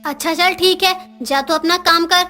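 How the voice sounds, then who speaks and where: Casting: female actor as domineering middle-aged mother-in-law, forceful high voice, scolding cartoon delivery. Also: fairly even intonation, moderate pace. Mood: commanding. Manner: scolding, commanding. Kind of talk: Hindi moral-story cartoon dubbing.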